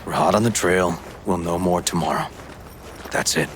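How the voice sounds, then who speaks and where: An actor playing a gruff, determined detective and protective uncle in a video game, sounding low and weary. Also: male voice, moderate pace, slight background noise, lightly acted. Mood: businesslike.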